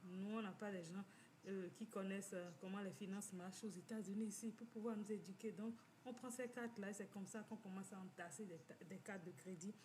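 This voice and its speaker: Woman, halting delivery. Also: medium pitch, fairly even intonation, a little mellow, a little hiss or hum. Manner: repetitive, halting with many restarts. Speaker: community finance speaker. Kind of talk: personal testimony talk. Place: living in the United States.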